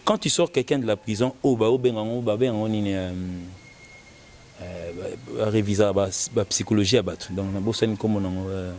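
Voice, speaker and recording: A man's voice: on the low side, a little fast, darkish tone, a little hiss or hum. A man with a Congolese accent talking casually and giving opinions, often repeating words as the argument builds. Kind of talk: casual opinionated talk mixing French and Lingala.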